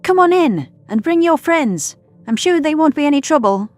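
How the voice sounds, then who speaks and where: Sci-fi story astronaut woman acted by a man, high and animated, welcoming, breezy, encouraging. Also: normal speed, soft background music. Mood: cheerful welcome. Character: welcoming, upbeat female human astronaut.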